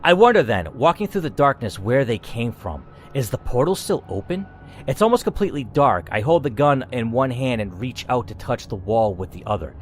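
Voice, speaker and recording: A man's voice: mid-range voice, brisk, slight background noise. A man giving a dramatic, theatrical reading of a scripted story, as a gaming host narrating aloud. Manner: theatrical, expressive reading with asides.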